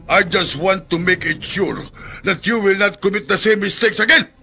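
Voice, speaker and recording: An ill-tempered foreign employer in a radio drama. The voice is pushed high and sharp, bullying and shouting, with a domineering delivery. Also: male voice, normal speed, faint music behind, exaggerated voice. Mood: enraged, menacing.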